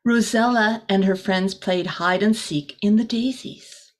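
A middle-aged woman reads a storybook aloud for children. The pace is slow and deliberate and the pitch is average for a woman. She reads expressively, like a teacher, adding short explanations. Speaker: volunteer teacher reading to children. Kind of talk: storybook read-aloud with asides. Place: small room indoors.

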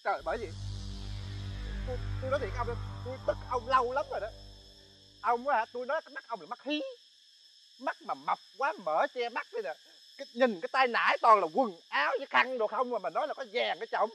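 Man doing bandit sidekick, very high voice, quick talk, whiny, nagging. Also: vocal fry, a little hiss or hum, exaggerated voice, poor audio. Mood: grumbling. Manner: flattering, then whiny nagging complaints. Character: fawning, grumbling male bandit sidekick. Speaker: comedy sketch actor. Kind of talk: comic sketch acting.

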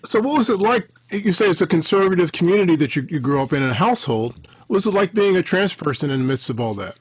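An older man hosts a podcast interview, speaking in a high, husky voice. His sound is dry and close, picked up by a headset. He rambles and restarts his sentences as he goes. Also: animated, quick pace, no room sound. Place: home office with bookshelves. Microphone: headset on video call.

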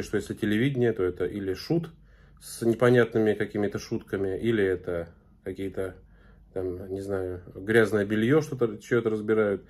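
Blogger, thirties, male, somewhat low voice, earnest talk to camera in a small room, halting delivery. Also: a little mellow, husky. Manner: earnest, many filler sounds and restarts. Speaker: video blogger. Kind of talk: casual commentary to camera.

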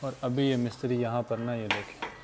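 A cheerful young man from Pakistan talks through his day in a relaxed vlog style, often breaking into light laughter. He speaks at a medium pitch into a clip-on mic outdoors. A faint hum of open-air ambience sits behind him. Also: a little fast. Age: young adult. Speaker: vlogger. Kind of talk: casual vlog narration. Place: outdoors, farmland and building site. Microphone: lapel mic.

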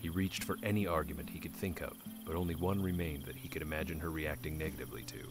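A man's voice: very deep voice, steady tone, quick pace, background noise. A man reading scripted narration for a fantasy audio drama.

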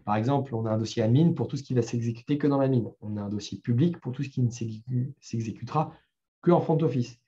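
French guy chatting through a coding talk, mellow voice, bit muffled audio, lots of euhs. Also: mid-range voice, fast talker. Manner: loose, conversational, many fillers and restarts. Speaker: meetup speaker. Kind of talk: live talk with screen demo.

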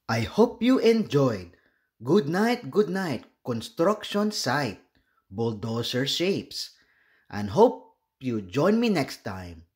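Very slow, lively Filipino man reading aloud. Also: medium pitch. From Philippines. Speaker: children's book read-aloud host. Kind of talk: expressive children's storybook reading.

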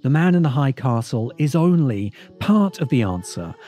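A man's voice: mid-range voice, big pitch swings, moderate pace, dark tone, faint music behind. A man reading scripted narration as the narrator of a video essay.

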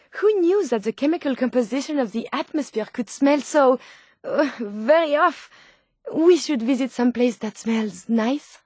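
High-voiced, curious French robot scientist. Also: female voice, darkish tone, husky, no room sound, studio quality, full character voice. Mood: puzzled. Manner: formal, precise phrasing with earnest wonder. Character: curious, earnest female robot scientist turned human, formal and naive. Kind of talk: scripted video game character dialogue.

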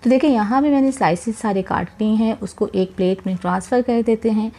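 A woman's voice: medium pitch, a little fast. Woman, cooking show host, recipe voice-over, chatty, addresses viewers directly. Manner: warm, chatty, repeats religious blessings while cooking. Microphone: voice-over, speaker not seen.

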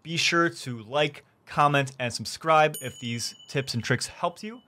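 A young man hosting a gaming tutorial, explaining things clearly step by step from his streaming room. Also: a little high, a little slow, sharp tone. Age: young adult. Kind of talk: tutorial narration. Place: small indoor room streaming setup.

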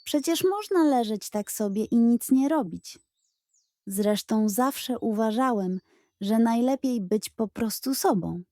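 A woman voicing a greedy, lazy male pug dog who gets indignant and whiny in a high, overexcited voice. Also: lively intonation, slow, dark tone, no echo, studio quality.

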